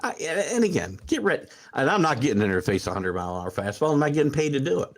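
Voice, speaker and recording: A man's voice: medium pitch, quick pace. A man in his sixties hosting a sports talk stream, rambling with many fillers and restarts, then building into emphatic rants as he answers viewer comments. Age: 60s. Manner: animated, rising into emphatic rants. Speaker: sports talk show host. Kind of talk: livestream chat reading viewer comments.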